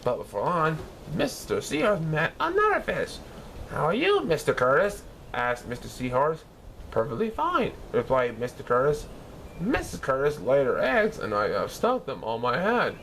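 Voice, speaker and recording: Childlike cartoon sponge, wildly swooping pitch with a creaky edge, eager storybook reader. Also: male voice, huge pitch swings, moderate pace, a bit bright, a little hiss or hum, exaggerated voice. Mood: bubbly storytelling. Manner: eager, exclamatory, stumbling over words. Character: excitable, childlike yellow cartoon sea sponge reading a storybook. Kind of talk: children's storybook read-aloud in character.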